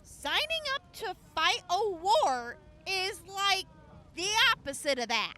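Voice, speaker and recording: A woman's voice: very high voice, very animated, slow pace, bright, forceful tone, full character voice. A woman acting in an audio drama as a blunt, sardonic, reluctant field medic with a wry, casual and hesitant manner. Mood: reluctant, irritated.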